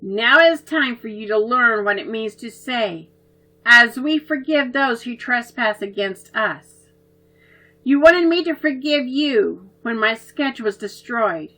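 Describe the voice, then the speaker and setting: A woman voicing a kind older brother in a storybook, gently firm and patient as he teaches his sisters. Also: fairly high pitch, lively intonation, slow pace, exaggerated voice, rough recording. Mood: teaching.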